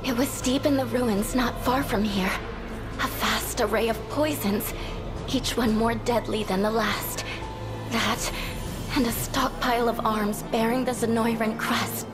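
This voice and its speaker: A high-pitched young heroine voiced by a woman, earnest and devout, pleads with brave insistence. It sounds like fantasy game acting, set over clear music. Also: fairly even intonation, measured pace, lightly acted. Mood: urgent, insistent.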